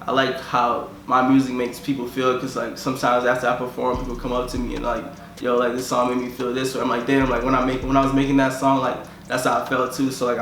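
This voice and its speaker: A man's voice: medium pitch, fairly bright tone, roomy sound, slight background noise. A young man from Tanzania, a musician talking casually in an interview and filling nearly every phrase with "like".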